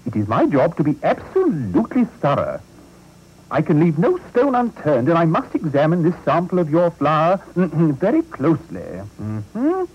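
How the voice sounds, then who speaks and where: A man's voice: on the high side, huge pitch swings, normal speed, slightly rough, lo-fi sound, voice pushed up, full character voice. A man playing a fussy, self-important male detective with a prim, ponderous way of talking. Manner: prim, ponderous, murmuring hmm.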